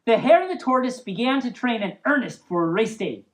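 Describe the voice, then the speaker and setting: A woman's voice: a little high, very animated, fairly quick. A woman reading a humorous story aloud as host, playful and giggly, breaking off for casual asides. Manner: playful, giggly, stumbles over words and riffs on them.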